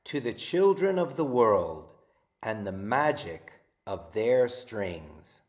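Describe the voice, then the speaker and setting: A middle-aged man reading aloud to children as a teacher, in a playful, deliberate, expressive way. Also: fairly low pitch. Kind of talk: children's story time read-aloud.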